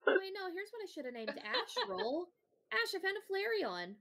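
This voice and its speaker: A woman joking and teasing in casual, expressive banter during a game stream. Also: very high-pitched, moderate pace, vocal fry, soft background music.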